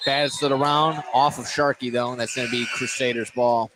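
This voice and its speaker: A man's voice: mid-range voice, steady tone, normal speed. A man doing live play-by-play radio commentary of a basketball game. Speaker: play-by-play announcer. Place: indoor basketball game, gym noise behind. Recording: noisy live gym ambience.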